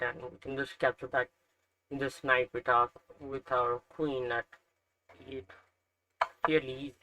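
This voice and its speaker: A man's voice: medium pitch, bright, forceful tone, low-quality recording. A young man from Nepal with a Nepali accent, talking through chess puzzles, thinking aloud with frequent hesitations and self-corrections. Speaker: chess learner narrating puzzles. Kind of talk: casual screen-recorded commentary.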